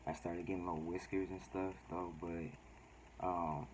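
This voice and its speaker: A man with a low-pitched voice gives a casual, personal vlog update. He talks in a laid-back, halting way, and there is faint noise behind him. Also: flat delivery, poor audio. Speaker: vlogger.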